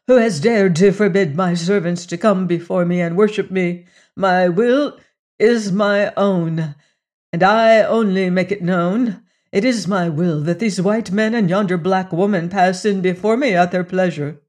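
Man reading young woman, goddess figure, earnest, commanding, high voice. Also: moderate pace, a little mellow. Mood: imperious. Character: frightened, lonely young woman hailed as a goddess.

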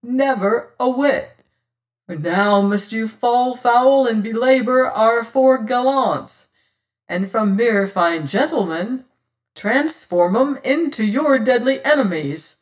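A silver-tongued, scornful pirate rogue from an adventure audiobook, acted out by a male reader. He lectures and taunts in old seafaring speech, slowly and in a high register, slightly off the microphone. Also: expressive, far from the mic, full character voice. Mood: scornful lecturing. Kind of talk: dramatised audiobook reading of an adventure tale.

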